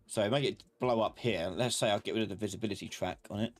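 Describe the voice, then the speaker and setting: A man giving a live software tutorial as an instructor, informally thinking aloud and talking to himself as he works. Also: fairly low pitch, quick pace, soft background music. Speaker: instructor of a live online class. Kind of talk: live software tutorial, explaining while working.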